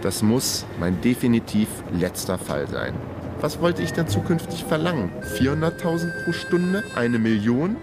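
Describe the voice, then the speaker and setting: A man's voice: mid-range voice, very animated, moderate pace, loud music behind the voice. An actor playing a world-weary investigator who narrates his own radio crime drama in a dry, ironic manner. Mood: wry, weary. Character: world-weary, wry male investigator hero. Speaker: narrator and lead character.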